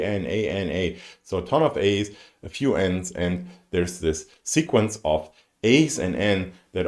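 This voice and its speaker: A man's voice: lowish voice, a little mellow. A man in his forties, a native German speaker with a German accent, lecturing informally in English and thinking aloud with asides as he explains. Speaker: university lecturer. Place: indoors, live-streamed lecture over slides.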